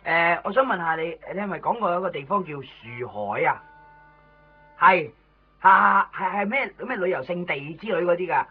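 An actor playing an excitable, scatterbrained but helpful man in a Cantonese radio drama, stammering and repeating himself. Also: high voice, normal speed, slightly rough. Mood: chatty, flustered. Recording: tinny, band-limited 1990s radio recording, faint music.

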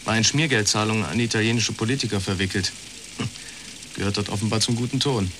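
An actor playing a laconic newspaper archivist, speaking tersely and matter-of-factly in a radio crime drama. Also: male voice, on the low side, fairly even intonation, fast talker, background noise, close to an everyday voice. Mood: dry, matter-of-fact. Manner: terse, dry, matter-of-fact. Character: laconic male newspaper archivist, old school friend.

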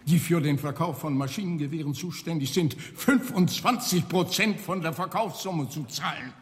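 A man's voice: fairly high pitch, moderate pace, close to an everyday voice. An actor playing a stern, elderly Roman banker and father in a German radio play, interrogating imperiously. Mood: accusing. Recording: long reverberant hall.

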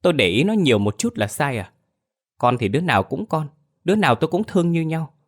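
A man voicing a sharp-tongued elderly mother-in-law who argues in a whiny, defensive way. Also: big pitch swings, fast talker. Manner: shrill, whiny, argumentative.

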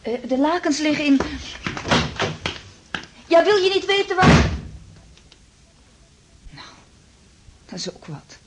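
A woman's voice: sits high, very animated, takes it slowly, pauses often, exaggerated voice. An actress playing a concerned, hesitant female friend in a radio play, fussing as she talks. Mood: anxious, fussing. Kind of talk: Dutch radio play acting. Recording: clearly noisy with clicks.

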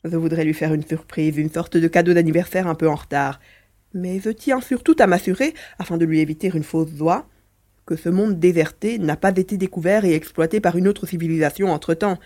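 A woman's voice: fairly low pitch, fairly quick, mellow, natural voice. A woman voicing a mischievous male mouse-beaver alien, a chatty, teasing adventurer in a French audio drama.

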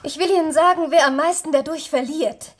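A woman's voice: high voice, a little fast, close to an everyday voice. An actress playing a grieving, emphatic young woman in a vintage German radio crime drama. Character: grieving, emphatic young niece of murdered man.

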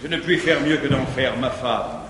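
A man playing a jealous, domineering middle-aged guardian, declaiming verse with loud outbursts and muttered asides. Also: mid-range voice, normal speed, natural voice. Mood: scheming. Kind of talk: 1950s stage verse acting. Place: large theatre stage. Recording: echoey 1959 stage recording with faint hiss.

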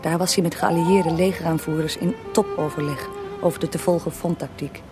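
A woman's voice: low-pitched, steady tone, fairly quick, over music, natural voice. A woman playing a knowing, composed interviewer in a scripted radio drama, speaking matter-of-factly. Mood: factual. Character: female interviewer questioning a dead historical man, knowing, composed. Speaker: interviewer and narrator.

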